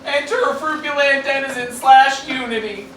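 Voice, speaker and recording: A woman's voice: high-pitched, moderate pace, fairly bright tone. A woman reading out terse stage directions as the narrator of an amateur radio play. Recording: very echoey, distant room, faint noise.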